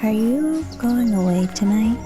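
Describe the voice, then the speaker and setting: A woman's voice: fairly high pitch, expressive, measured pace, over music. A woman playing a thoughtful young daughter in an audio drama, speaking hesitantly and wistfully. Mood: playful. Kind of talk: audio drama acting and narration.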